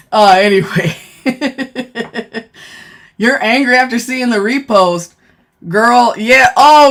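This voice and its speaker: A woman with a deep voice chatting casually on a phone livestream, speaking bluntly and thanking viewers as she goes. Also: fairly bright tone. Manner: blunt, frank, thanks viewers mid-sentence. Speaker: livestreamer and former law enforcement worker. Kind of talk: casual live-stream chat reading comments.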